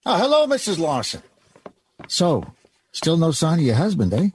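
A man's voice: a little high, huge pitch swings, moderate pace, a little hiss or hum. An actor playing a kindly, folksy department store doorman in an old-time radio drama, reassuring and a little hesitant.

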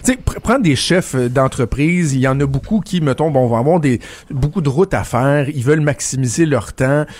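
A man's voice: mid-range voice, expressive, moderate pace, hardly any pauses, darkish tone, no echo. Québécois man telling political anecdotes. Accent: Québécois French. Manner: storytelling, many restarts and repeated words. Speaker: political commentator. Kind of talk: casual radio chat.

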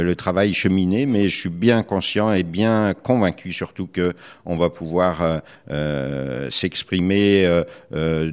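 Low-voiced Frenchman speaking with careful hesitation. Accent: French from France. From France. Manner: measured, hesitant, careful phrasing. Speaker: politician, member of the Quebec legislature. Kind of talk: interview answers.

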